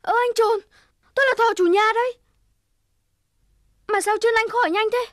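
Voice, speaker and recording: A woman voicing a gentle, naive, earnest little rabbit in a children's cartoon. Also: very high-pitched, normal speed, no echo, studio quality. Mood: puzzled. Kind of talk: Vietnamese children's cartoon acting.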